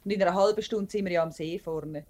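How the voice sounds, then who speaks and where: An actress playing a practical, cheerful working-class wife in a 1950s Swiss German dialect radio play. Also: female voice, on the low side, fast talker, a little mellow, lightly acted. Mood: chatty.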